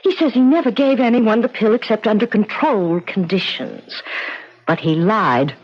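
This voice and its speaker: A woman acts out an anxious, suspicious young woman in a 1970s radio play. Her medium-pitched voice is earnest and a little hesitant. The aged broadcast has a dull, muffled sound. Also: expressive, normal speed, natural voice. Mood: accusing, determined. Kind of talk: 1970s radio drama acting. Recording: muffled old radio drama recording.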